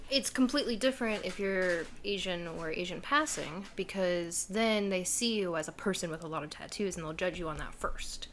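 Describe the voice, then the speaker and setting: A woman's voice: lowish voice, slight background noise. A woman who is a podcast guest, chatting casually, giggling often and filling her talk with "like.". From United States. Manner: chatty and giggly, heavy use of "like".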